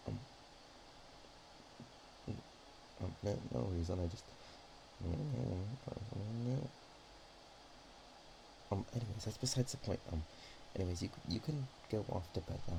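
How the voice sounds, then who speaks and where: A man's voice: sits low, gravelly, exaggerated voice. A man voicing a bashful, affectionate dragon girl in an intimate ASMR roleplay, stammering and hesitant. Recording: faint background noise.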